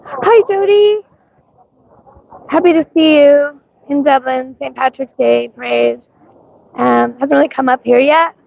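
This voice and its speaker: Woman livestreaming from a street parade, gravelly scratchy voice, mixed accent, rambling with ums, dull lo-fi phone sound. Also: sits high, a little slow, long pauses, no room sound. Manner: rambling, many ums, greets viewers by name. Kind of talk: casual livestream chat.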